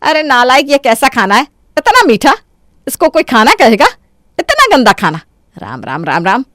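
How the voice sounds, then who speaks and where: A woman acts out a harsh, quick-tempered mother-in-law for a cartoon. She berates and exclaims in a high voice that swings widely in pitch. The sound is close and dry. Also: big pitch swings, fairly bright tone, no echo. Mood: disgusted. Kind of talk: Hindi cartoon story voice acting.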